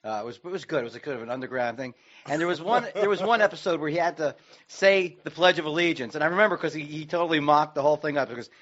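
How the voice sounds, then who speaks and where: A man reminiscing and reciting casually, with halting restarts. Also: a little high, fairly bright tone, highs cut off.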